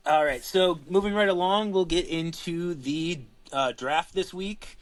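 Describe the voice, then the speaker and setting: A man's voice: sits high, unhurried. A man co-hosting a podcast in casual chat, rambling and laid-back, swearing now and then. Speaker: co-host.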